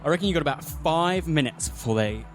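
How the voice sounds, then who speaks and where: A man's voice: on the high side, lively intonation, fast talker, soft background music. A man narrating a tabletop roleplaying game as game master, with descriptive, dramatic storytelling.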